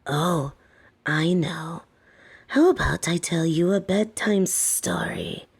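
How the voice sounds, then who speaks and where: A woman playing a soft-spoken, domineering lamia caretaker in a roleplay audio, teasing and coaxing with a condescending, softly menacing edge. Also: fairly low pitch, takes it slowly, creaky, breathy edge, heavily acted. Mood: soothing, coaxing. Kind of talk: scripted roleplay audio, intimate second-person address.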